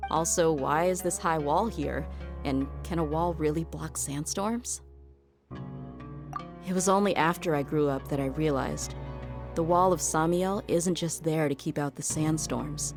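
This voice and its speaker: Low-voiced, firm desert mercenary woman. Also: a little slow. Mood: bitter, grave. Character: tough, protective adult desert mercenary woman, resentful of injustice. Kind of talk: video game voice acting. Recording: music playing behind the voice. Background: game background music.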